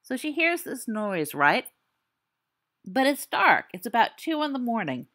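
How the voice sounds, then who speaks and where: A woman's voice: on the high side, very animated, unhurried, sharp tone, full character voice. A woman voicing a cocky teenage boy who tells a spooky story in a theatrical, teasing way.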